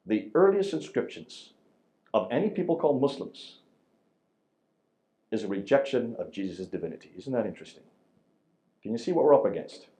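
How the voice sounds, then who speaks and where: A man giving an emphatic lecture to a church audience, with a very loud voice, firing rhetorical questions at his listeners. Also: mid-range voice, big pitch swings, normal speed, pauses often.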